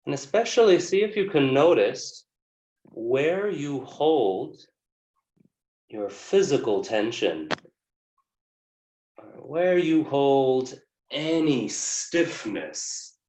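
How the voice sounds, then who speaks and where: A man teaching a guided yoga class, giving gentle, measured instructions with frequent pauses. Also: medium pitch, big pitch swings. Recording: echoey room, distant microphone.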